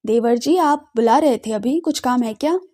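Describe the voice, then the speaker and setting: An affectionate young sister-in-law from a family audio drama, played by a woman. Her voice is high yet mellow. She speaks expressively, with a teasing, caring touch. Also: moderate pace, lightly acted. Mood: casual. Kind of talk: audio drama character dialogue.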